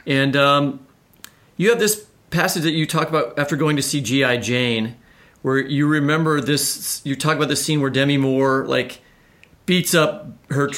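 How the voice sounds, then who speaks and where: A man's voice: medium pitch, fairly even intonation, normal speed, a bit bright. Man co-host asking long, winding questions. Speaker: podcast co-host and novelist. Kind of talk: podcast interview.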